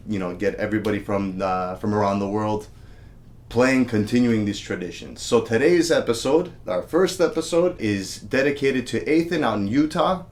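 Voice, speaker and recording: A man giving a casual, friendly video lesson on a traditional instrument, counting beats aloud. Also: lowish voice. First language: English. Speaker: music teacher.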